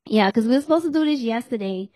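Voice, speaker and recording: Podcast host, woman, hoarse and losing her voice, higher-pitched, teasing chat in a dry room. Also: expressive, fast talker, mellow, no echo. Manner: chatty, laughs often, teasing. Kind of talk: casual chat.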